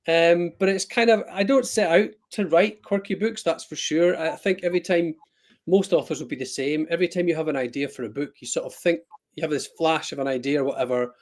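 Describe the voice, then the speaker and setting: A Scottish man who sits a little high in his range for a man, chatting over a video call. He rambles, backtracks, fills gaps with ums and pokes fun at himself. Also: brisk, a little mellow. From Scotland. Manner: rambling, self-deprecating, many ums and restarts. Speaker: author guest. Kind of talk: casual live-stream chat.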